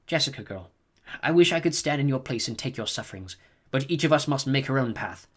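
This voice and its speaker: Brief: male narrator playing a high, loud, scolding old matriarch, all command. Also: animated, fast talker. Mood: probing. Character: stern imperious old woman, a reverend mother. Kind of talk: audiobook character reading.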